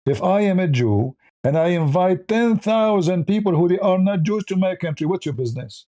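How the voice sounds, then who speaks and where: A man's voice: sits high, animated, moderate pace, warm tone, dry recording. A man who speaks English as a second language, giving combative, informal religious commentary full of repeated rhetorical questions. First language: not English.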